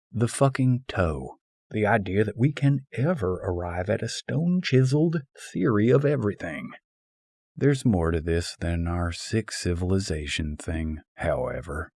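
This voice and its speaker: A man reading a humorous philosophical essay as a scripted audiobook, in a wry and expressive way. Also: sits low, slightly rough, no echo, clean studio sound. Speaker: audiobook narrator.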